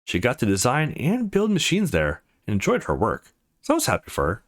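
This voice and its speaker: A man reading visual novel text aloud as a let's play host, in an expressive storytelling style. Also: medium pitch, a little fast.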